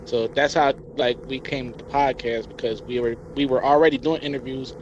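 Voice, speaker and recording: A man's voice: medium pitch, steady tone, brisk, highs cut off, background noise. A man from Hartford, Connecticut, a podcast guest talking laid-back with casual slang. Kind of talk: casual interview chat.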